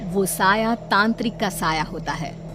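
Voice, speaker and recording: A woman's voice: mid-range voice, measured pace, music in the background. A woman giving a scripted narration of a story.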